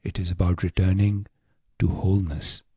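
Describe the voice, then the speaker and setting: A man guiding a scripted meditation in a hushed, solemn, deliberate way, pausing often, close to the microphone. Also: low-pitched, flat delivery, slightly rough, dry recording. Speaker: meditation guide. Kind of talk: slow scripted guided meditation.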